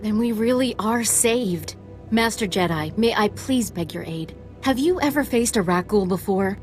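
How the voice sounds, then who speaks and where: An actress playing an earnest, pleading archaeological researcher in a video game. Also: female voice, medium pitch, expressive, normal speed, soft background music, natural voice. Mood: pleading, grateful. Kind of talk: scripted game cutscene dialogue.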